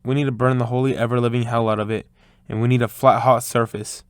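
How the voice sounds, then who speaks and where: A man acting a brisk, practical survivor giving orders in an audio drama. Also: mid-range voice, flat delivery, clean tone, dry recording, studio quality, natural voice.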